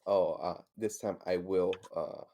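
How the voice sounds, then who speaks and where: Deep, gravelly, mellow man. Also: fairly even intonation, measured pace. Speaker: tabletop game player. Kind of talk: casual, hesitant chat.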